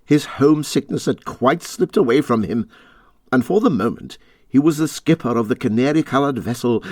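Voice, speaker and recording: A man narrating a classic storybook as an audiobook reader, dramatically and with deliberate, expressive phrasing. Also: fairly low pitch, dry recording, clean studio sound.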